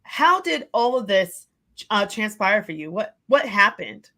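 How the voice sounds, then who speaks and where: A woman's voice: mid-range voice, big pitch swings, unhurried, pushed and bright. Woman host asking interview questions. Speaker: podcast host. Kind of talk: interview hosting.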